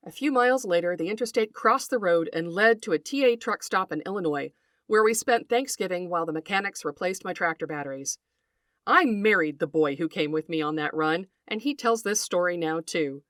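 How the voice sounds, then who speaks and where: A woman's voice: fairly low pitch, animated, a little fast, fairly bright tone, no echo. Middle-aged woman narrating, dry close recording. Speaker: podcast host, writer and folklorist. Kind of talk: scripted podcast narration, storytelling and lecture.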